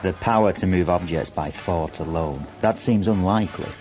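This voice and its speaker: Man playing a low-voiced, smooth, sceptical spaceman. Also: normal speed, darkish tone, faint music behind, lightly acted. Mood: dry, sceptical. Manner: dry, dismissive. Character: smooth, cold, sceptical male sci-fi crewman.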